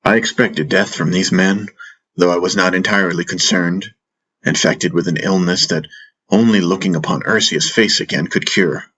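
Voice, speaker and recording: Low-voiced man narrating fantasy, forceful. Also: fairly even intonation, normal speed, slightly rough, rough recording. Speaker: audiobook narrator. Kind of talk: dramatic scripted first-person fantasy narration. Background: faint music.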